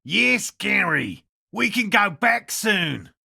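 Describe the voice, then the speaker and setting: A man voicing an earnest, eccentric cryptozoologist in a video game, with a raspy voice and precise, courteous, scholarly phrasing. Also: high voice, big pitch swings, slow pace, pushed and bright, no echo, studio quality, exaggerated voice. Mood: calling out loudly. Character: earnest eccentric male cryptozoologist scientist, obsessive but courteous. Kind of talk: video game dialogue voice lines.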